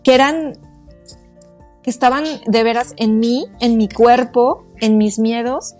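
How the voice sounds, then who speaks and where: Woman, 40s, higher voice, earnest and halting, soft music underneath. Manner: halting, searching for words, earnest. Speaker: guest, quantum therapist. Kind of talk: casual interview conversation.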